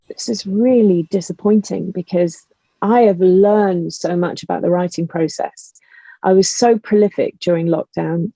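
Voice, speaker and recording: Female interview guest, mellow voice with vocal fry, emphatic and impassioned, casual chat. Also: lowish voice, no room sound, dull audio. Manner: emphatic, animated storytelling with exasperated rhetorical questions. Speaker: guest, audio drama writer. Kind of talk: passionate casual conversation.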